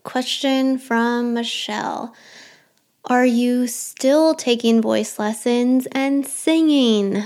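A woman's voice: a little high, darkish tone. A young adult woman hosting her own podcast, chatting casually and rambling through listener questions with a self-deprecating touch. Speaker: podcast host.

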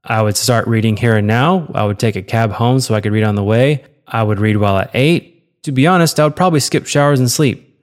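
A man's voice: mid-range voice, fairly quick, natural voice. A man voicing an earnest, loyal middle-aged office worker in a read-aloud story. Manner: earnest, pleading.

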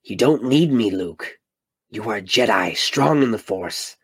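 Wise old jedi guy, slow and grave, husky low voice as a man reads him. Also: animated, full character voice. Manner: low, quiet, slow and solemn delivery.

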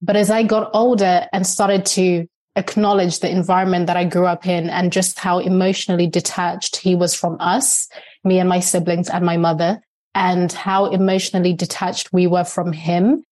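A woman in her thirties, a Shona speaker, speaks as a podcast guest. Her voice sits a little low and has a soft, mellow, unbright tone. She tells her story slowly and earnestly, in a reflective way. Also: level tone. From Zimbabwe.

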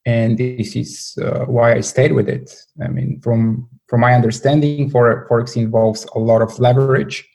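Male trader, interview guest, flat delivery, warm tone, halting. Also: mid-range voice, gravelly. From Bulgaria. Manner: hesitant, many fillers and restarts. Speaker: guest, portfolio manager. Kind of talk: interview answers.

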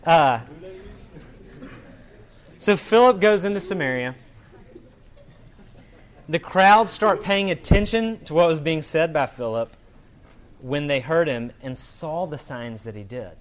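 A man's voice: a little high, animated, slow, pauses often. A man preaching as a pastor in an informal, conversational sermon style, talking colloquially and often checking in with his listeners. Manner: casual, colloquial, checks in with "right?".

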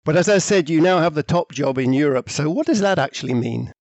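A man interviewing a guest, asking brisk, conversational questions. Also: on the high side, animated, a little mellow, no echo.

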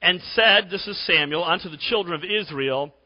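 A pastor preaching a sermon to his congregation while losing his voice, often clearing his throat. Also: high-pitched, moderate pace. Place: church sanctuary. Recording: dull recording in a reverberant church.

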